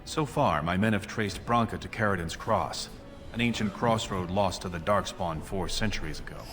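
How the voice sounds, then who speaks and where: An actor playing a scheming, courtly dwarf prince in a video game, speaking formally and persuasively in measured phrases. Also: male voice, sits low, music in the background, close to an everyday voice. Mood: smooth, commanding. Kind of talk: video game dialogue acting.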